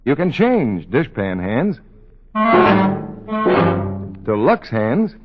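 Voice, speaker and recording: A man's voice: mid-range voice, wildly swooping pitch, very slow, loud music behind the voice. A man announcing in the scripted style of 1940s radio advertising. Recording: dull 1940s radio recording.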